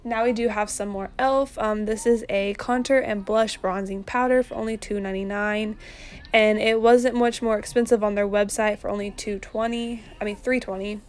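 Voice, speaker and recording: A young woman narrating a casual shopping haul, chatty and relaxed, with lots of ums and likes. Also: mid-range voice, normal speed. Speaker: vlogger.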